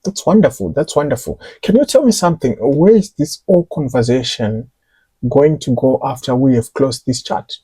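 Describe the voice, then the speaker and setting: Mellow, husky male podcast host. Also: medium pitch, animated, moderate pace, dry recording. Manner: hesitant, with fillers and repeated words. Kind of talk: casual interview questions.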